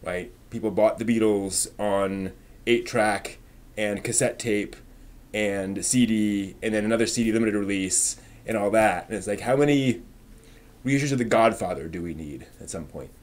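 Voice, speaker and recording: A man's voice: fairly low pitch, normal speed. A man hosting a live stream, chatting casually with viewers in an informal, rambling way as he thinks aloud.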